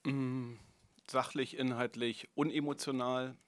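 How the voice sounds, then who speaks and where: A man as club president, answering a press question slowly and deliberately. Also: mid-range voice. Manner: slow, calm, deliberate. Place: press conference room.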